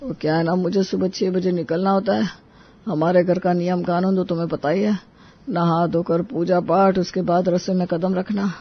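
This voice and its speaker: A man playing a mild, apologetic sick husband in a Hindi animated moral story, with a husky, weak delivery. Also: sits high, moderate pace. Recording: dull, lo-fi audio.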